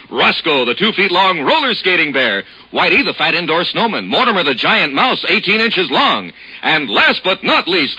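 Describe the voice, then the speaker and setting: High, bright hard-sell radio announcer. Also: male voice, breathy edge. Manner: brisk, hard-sell pitch. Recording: dull lo-fi old radio.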